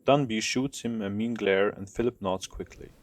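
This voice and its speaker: A man's voice: on the low side, normal speed. A man reading scripted narration for an audio drama.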